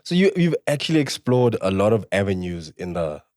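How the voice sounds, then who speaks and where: A man's voice: fairly low pitch, big pitch swings, brisk, a little mellow, dry recording, studio quality. A man from South Africa hosting a podcast, asking questions in a playful, teasing way. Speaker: podcast host. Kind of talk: casual interview.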